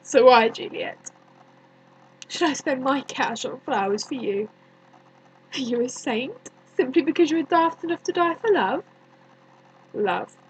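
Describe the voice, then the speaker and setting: A woman performing a dramatic stage monologue as a bitter, grieving young woman, deliberate and accusing, with many pauses. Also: on the high side, a little hiss or hum, poor audio. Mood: scornful. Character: bitter grieving young woman haunted by her dead cousin.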